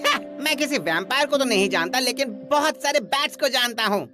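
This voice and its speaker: A fairly high, chipper voice for a male cartoon bat. He is a helpful, slightly scatterbrained uncle who chatters along, with music behind him. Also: lively intonation, a little fast, bright, forceful tone. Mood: cheerful, helpful. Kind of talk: animated cartoon dub.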